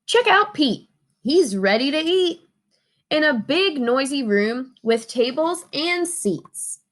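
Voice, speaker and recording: A woman reading a children's storybook aloud in an expressive way, asking her young listeners questions. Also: sits high, slow. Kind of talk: children's storybook read-aloud with sing-along.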